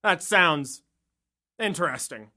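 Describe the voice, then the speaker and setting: A woman voicing a self-assured male detective who talks in a dry, deliberate way. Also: lowish voice, expressive, pushed and bright, exaggerated voice. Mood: measured. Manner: dry, deliberate, ponders aloud. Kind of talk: amateur 1930s-style radio drama parody.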